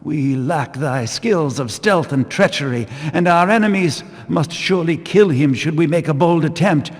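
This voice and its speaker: An actor playing a stern, pious temple guard in a fantasy game, declaiming in archaic English. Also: male voice, fairly high pitch, moderate pace. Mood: grave, urgent. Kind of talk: scripted fantasy video game voice acting. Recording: long reverberant hall echo.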